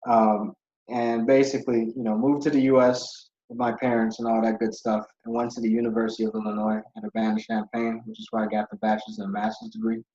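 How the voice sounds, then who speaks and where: A man's voice: on the low side, little pitch movement, a little fast, warm tone. A young man from Ghana, a podcast guest who tells his story in a rambling, casual way with many fillers. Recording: dull lo-fi audio.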